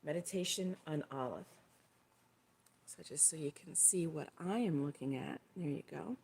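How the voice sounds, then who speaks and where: A middle-aged woman with a dry, slightly hoarse voice, talking loosely on a live stream, rambling with fillers and correcting herself. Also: low-pitched, normal speed, a little mellow. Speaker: live stream host and tarot reader. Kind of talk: casual spoken livestream talk.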